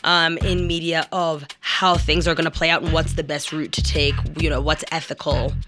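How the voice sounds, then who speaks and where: A woman's voice: sits low, flat delivery, moderate pace. Explanatory woman over music. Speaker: actor and radio professional. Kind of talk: candid discussion. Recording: music playing under speech.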